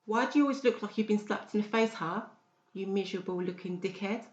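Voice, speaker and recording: A woman's voice: mid-range voice, brisk, a little mellow, natural voice. A woman playing an aggressive, foul-mouthed, antagonistic patient in a dramatised audiobook, taunting and confrontational. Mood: mocking. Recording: dull, roomy recording.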